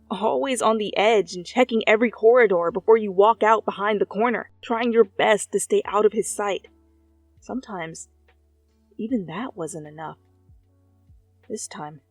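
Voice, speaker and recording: A woman's voice: mid-range voice, measured pace, long pauses, no echo. A woman reading scripted second-person fiction as a narrator, close to the microphone. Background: soft background music.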